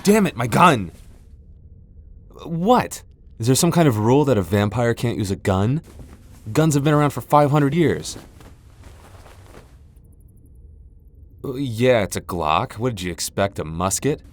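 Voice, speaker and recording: A man's voice: medium pitch, animated, moderate pace, natural voice. A man playing a haughty, centuries-old vampire lord in a fantasy ASMR roleplay, sardonic, taunting and theatrical. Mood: indignant, defiant.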